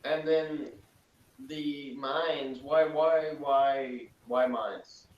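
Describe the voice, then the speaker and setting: A man's voice: mid-range voice, steady tone, very slow, muffled recording. A man giving casual critical commentary as a panelist, blunt and dismissive in his manner. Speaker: podcast panelist. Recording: echoey room, far from mic.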